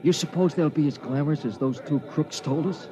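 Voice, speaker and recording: A man's voice: fairly high pitch, fairly quick, natural voice. An American man playing a jittery, good-natured, folksy miner in 1950s radio drama style. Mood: nervous, amused. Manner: folksy, giggly. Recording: muffled old radio recording, crowd behind.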